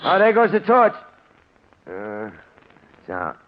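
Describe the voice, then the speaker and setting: High-voiced nervous young crook. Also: male voice, huge pitch swings, slow pace, heavily acted. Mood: uneasy. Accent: American. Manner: anxious, deferring, slangy. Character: nervous, excitable young crook, the junior partner. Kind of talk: 1940s radio drama acting. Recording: muffled old radio broadcast.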